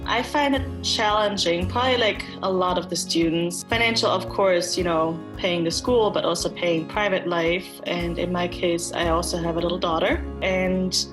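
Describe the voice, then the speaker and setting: A woman's voice: on the low side, steady tone, normal speed, few pauses, music in the background. A woman nursing student from Germany and Austria, a mother, giving a casual testimonial.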